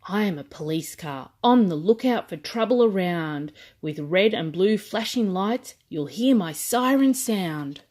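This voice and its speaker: A helpful, proud rescue vehicle from a children's picture book, read by a woman. It introduces itself in measured, declarative rhyme at a medium pitch. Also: lively intonation, darkish tone, slight background noise, lightly acted.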